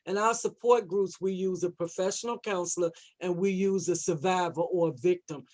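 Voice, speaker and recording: Woman panelist, dark mellow voice, plain talk, repeats stuff to make the point. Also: on the low side, moderate pace. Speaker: support group advocate, panelist. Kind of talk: informal spoken remarks at an online panel.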